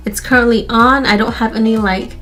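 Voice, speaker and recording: A woman's voice: a little high, steady tone, fairly quick, a bit bright, faint music behind. A woman with a stuffy nose chatting casually as she walks through a hair tutorial for her channel. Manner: chatty, friendly, repeats "literally" and "like". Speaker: beauty YouTuber.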